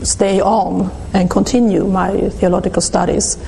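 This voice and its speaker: Swedish-accented woman, fifties, interview guest, mellow tone, hesitant delivery, faint hiss. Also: mid-range voice, flat delivery, vocal fry, low-quality recording. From Sweden. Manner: hesitant, halting, many restarts. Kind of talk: reflective personal testimony.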